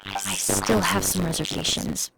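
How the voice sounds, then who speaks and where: An actress playing a cautious, devoted young female apprentice in a video game, gentle, reserved and protective. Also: lowish voice, sing-song, unhurried, gravelly, a crowd in the background, full character voice, low-quality recording. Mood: reluctant.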